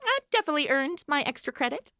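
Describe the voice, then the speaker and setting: A woman's voice: sits high, sing-song, quick pace, bright, forceful tone, no room sound, studio quality, heavily acted. A woman playing a worldly, sardonic young influencer with slangy modern delivery in a comic audio drama. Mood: teasing, knowing.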